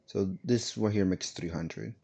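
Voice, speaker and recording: A man's voice: low-pitched, measured pace, vocal fry, mellow, husky. A man casually chatting while streaming a game, rambling with lots of fillers and self-corrections. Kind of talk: casual gaming stream chat. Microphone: laptop streaming setup.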